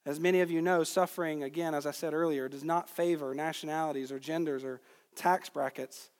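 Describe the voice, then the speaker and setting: A man from Georgia in the United States preaching an expository sermon to a congregation, teaching with deliberate emphasis on key words. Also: a little high, steady tone. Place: large reverberant room, speaking to a congregation. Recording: long reverb of a large hall.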